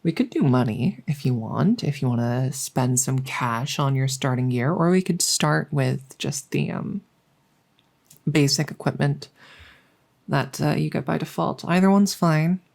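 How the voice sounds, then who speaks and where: A woman playing a friendly, chatty dungeon master in a soft-spoken ASMR roleplay, musing and hesitant as she talks intimately to the listener. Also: very deep voice, measured pace, creaky, heavily acted. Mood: casual, explanatory. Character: friendly female dungeon master, chatty, teasing, doting cat owner.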